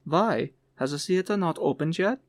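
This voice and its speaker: A man's voice: fairly high pitch, animated, moderate pace, dry recording, clean studio sound, voice pushed up, natural voice. A man voicing a curious, polite young job seeker in an audiobook, with an earnest, questioning manner. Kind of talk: audiobook character voice.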